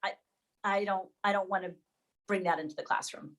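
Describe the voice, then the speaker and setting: A woman's voice: medium pitch, fairly even intonation, fairly quick, fairly bright tone. A woman leading an informal online discussion with fellow educators, thinking aloud and often correcting herself as she goes. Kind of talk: informal presentation to colleagues. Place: online video call.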